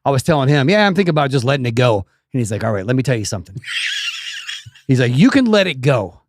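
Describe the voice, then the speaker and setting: A man's voice: mid-range voice, very animated, fast talker, no room sound, clean studio sound. A man in his fifties co-hosting a podcast, chatting casually with joking, self-mocking humor. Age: 50s. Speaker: podcast co-host.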